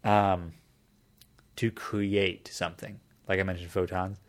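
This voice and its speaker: A man's voice: deep voice, little pitch movement, slow. A man in casual conversation, explaining a topic at length in a thoughtful way, often restarting his sentences.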